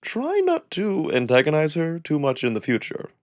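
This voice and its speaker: A man voicing a measured, diplomatic jackal nobleman from a visual novel, wary and deliberate in his formal phrasing. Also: fairly high pitch, wildly swooping pitch, a little mellow. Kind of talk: visual novel character reading.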